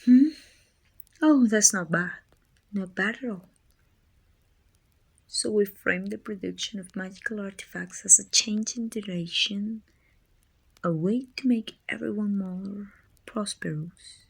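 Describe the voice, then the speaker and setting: A woman playing a dominant, haughty evil queen with a soft spot, musing imperiously in an ASMR roleplay. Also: mid-range voice, measured pace, long pauses, low-quality recording. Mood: intrigued, calculating. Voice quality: husky, breathy with whispered edges. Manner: imperious, musing, teasing condescension. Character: dominant, haughty evil queen softening toward her little helper.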